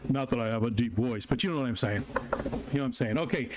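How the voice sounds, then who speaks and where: A man's voice: medium pitch, quick pace. A man hosting his own live stream, chatting casually with viewers in a rambling way, with lots of fillers and restarts. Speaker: livestream host. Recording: noticeable background noise.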